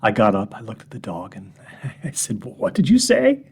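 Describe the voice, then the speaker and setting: A man reading a horror story as a shaken, bitter narrator telling gentlemen how his life came apart, veering between loud outbursts and hushed whispers. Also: lowish voice, wildly swooping pitch, moderate pace, slightly rough, full character voice. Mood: haunted.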